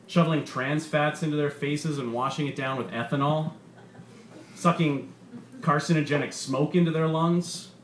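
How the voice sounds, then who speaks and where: A man's voice: fairly high pitch, natural voice. A man voicing a bitter, sardonic robot stand-up comedian with a profane, punchy, menacing delivery. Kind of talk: stand-up comedy routine in a short story reading. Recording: roomy bar with faint background noise.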